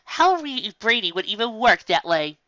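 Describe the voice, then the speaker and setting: A scornful female sea creature, put on by a woman reading a story, drawls every line with slow sarcasm. The voice keeps a bright, tense edge. The sound is dry and a little dull. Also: mid-range voice, sing-song, no room sound, voice pushed up. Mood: sarcastic.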